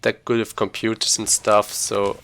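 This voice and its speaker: A man's voice: lowish voice, monotone, normal speed, creaky, a bit bright. A man giving casual, rambling live commentary as he speedruns a video game, thinking aloud as he plays. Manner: rambling, informal, thinking aloud while playing.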